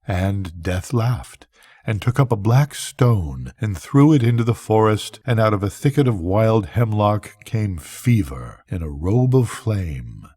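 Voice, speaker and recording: An older man reading a bedtime story as a grandfather, with measured, deliberate phrasing and pauses. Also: fairly low pitch, expressive, dark tone, breathy edge, no room sound.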